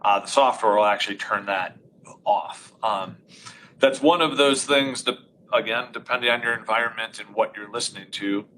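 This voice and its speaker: Loud, bright-voiced man demoing gear. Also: fairly low pitch, steady tone, moderate pace, a little hiss or hum, poor audio. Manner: loud, talkative, explains step by step. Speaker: audio installer demonstrating conferencing setup. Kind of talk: live technical demo. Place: conference room.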